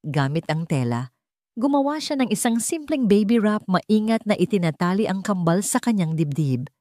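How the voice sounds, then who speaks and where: A woman's voice: mid-range voice, sing-song, normal speed, dark tone, dry recording, clean studio sound. A woman with a Filipino accent reading a scripted, dramatic story as an audiobook narrator.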